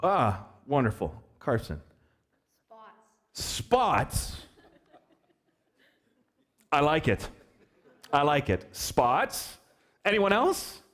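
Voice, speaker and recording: A man preaching a sermon as pastor, telling a story in an animated, joking way and asking the children questions. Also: fairly high pitch, very slow, long pauses. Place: church hall.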